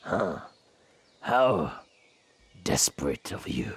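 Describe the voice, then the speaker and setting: A man's voice: a bass voice, sing-song, very slow, gravelly, breathy edge, no echo, heavily acted. A man playing a proud velociraptor robot warrior in a radio drama, with haughty, solemn declarations. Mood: grim, bewildered. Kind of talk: animated-series radio drama acting.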